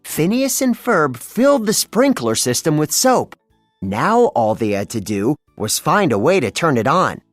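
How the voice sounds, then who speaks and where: Slow, animated storybook narrator over music. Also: medium pitch, no echo. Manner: expressive, storytelling delivery. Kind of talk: scripted children's read-along narration.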